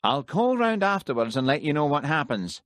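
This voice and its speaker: A man voicing a brusque senior police detective, delivered loud and commanding in an audiobook reading. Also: a little high, very animated, normal speed, dry recording, studio quality, voice pushed up. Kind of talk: audiobook character voice.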